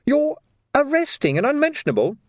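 A man's voice: sits high, wildly swooping pitch, moderate pace, breathy edge, dry recording, studio quality, voice pushed up, full character voice. A man voicing an eager, naive young police constable in an audiobook, earnest, anxious and deferential. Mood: worried.

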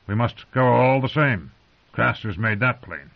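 A man's voice: medium pitch, lively intonation, a little slow, creaky, pitched above the performer's own voice. A man voicing a stern, impatient old male commander who growls and scorns. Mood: grim. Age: older. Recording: muffled lo-fi recording.

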